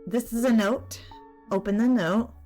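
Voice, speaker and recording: A woman doing a casual let's-play, reading game text aloud and murmuring to herself in a halting way. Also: on the high side, mellow, faint music behind. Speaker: gaming streamer playing a visual novel.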